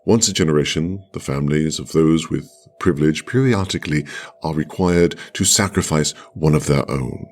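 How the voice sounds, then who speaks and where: A man performing a radio play monologue as a solemn, privileged man of the future chosen for sacrifice, speaking in a measured, formal, halting way. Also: rumbling low, darkish tone. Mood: solemn, resigned. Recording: music under the voice.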